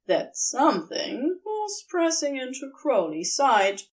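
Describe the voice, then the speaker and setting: A woman reading fan fiction aloud, with slow, expressive storytelling. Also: high voice. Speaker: podfic reader.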